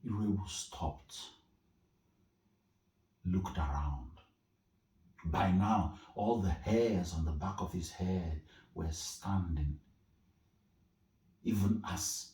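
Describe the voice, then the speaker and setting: Low voiced guy from nigeria telling a folktale, slow n dramatic, echoey and a bit far from the mic. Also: dark tone. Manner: theatrical, with suspenseful pauses and emphasis. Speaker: storyteller. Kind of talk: dramatic oral storytelling of a folktale. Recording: echoey room, distant from the mic.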